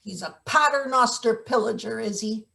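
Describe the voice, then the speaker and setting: A woman's voice: a little high, normal speed, vocal fry, sharp tone. A woman playing a menacing, sardonic late middle-aged maintenance worker in a table read of a satirical play. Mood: bullying, bitter. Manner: sardonic, interrogating, theatrical threats.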